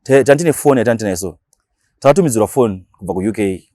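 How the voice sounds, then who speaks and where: A man's voice: lowish voice, animated, fast, warm tone, dry recording. A man with a Zimbabwean accent, talking casually as a radio DJ being interviewed, mixing languages and repeating words for emphasis.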